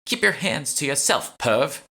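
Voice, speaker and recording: Man as cocky woman thief, audiobook dialogue, breezy, haughty, sharp-tongued, lifted pitch, bright edge. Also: lively intonation, unhurried, creaky, full character voice. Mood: disgusted. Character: brazen, cocky woman con artist and thief.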